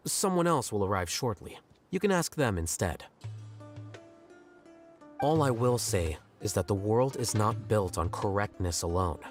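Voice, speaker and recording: A young scholar in a video game, with a fairly low voice. He speaks in a measured, matter-of-fact way, coolly rational and detached. Background music runs under him. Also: animated. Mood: detached, philosophical. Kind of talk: video game character acting.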